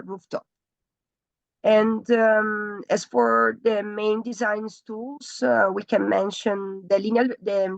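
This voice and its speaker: A woman's voice: medium pitch, gravelly, no room sound, dull audio. A woman with an Italian accent presents her architecture thesis on a video call, hesitating often. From Italy. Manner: hesitant, many fillers and restarts. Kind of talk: online thesis presentation, halting.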